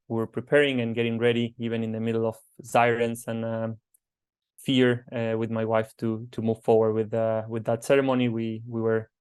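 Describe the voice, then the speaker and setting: A man with a foreign accent sharing a personal story on a conference call, earnest and halting, with many fillers. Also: mid-range voice, darkish tone, clear, resonant tone. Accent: non-native English. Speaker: advocacy team member. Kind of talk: personal testimony on a webinar. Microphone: conference call microphone.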